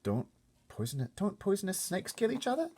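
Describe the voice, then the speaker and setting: Curious, troubled storybook little girl acted by a man, pitched up, plain-spoken and questioning. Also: wildly swooping pitch, a little fast, a little mellow, husky, exaggerated voice. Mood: troubled, challenging. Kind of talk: storybook character voice.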